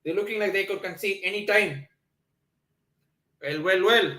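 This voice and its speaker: A man's voice: sits high, normal speed, roomy sound. A man from Nepal hosting a football watchalong stream, commenting on the match and answering viewers with many fillers and restarts. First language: not Hindi. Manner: excitable, lots of fillers and restarts. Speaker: livestream watchalong host.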